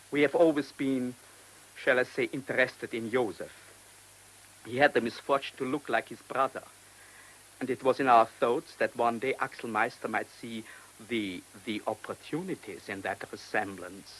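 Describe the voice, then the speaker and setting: An actor in a radio drama playing a cunning, self-assured German man who speaks with deliberate, mocking polish in a German accent. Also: medium pitch, a bit bright, close to an everyday voice, low-quality recording. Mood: grave, measured. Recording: hissy old radio play recording.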